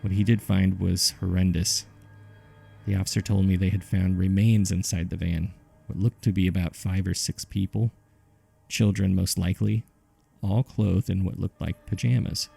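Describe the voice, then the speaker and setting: A man with a gravelly, husky voice reading scripted horror case files in a measured, subdued way, as narrator and host. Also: low voice, level tone, warm tone, soft background music.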